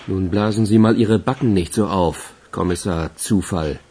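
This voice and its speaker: A man's voice: sits low, normal speed, background noise, natural voice. A man playing a wry, sceptical policeman in a radio crime drama, with a dry, mocking manner. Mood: wry, probing. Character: wry, sceptical male police colleague asking questions. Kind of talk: German radio play acting.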